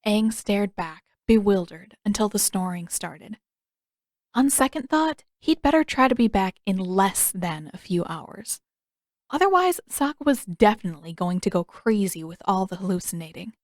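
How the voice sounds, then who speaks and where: A woman reading scripted fiction aloud in an expressive, conversational storytelling manner. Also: medium pitch, moderate pace, dry recording, studio quality. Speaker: fan fiction author and audiobook narrator.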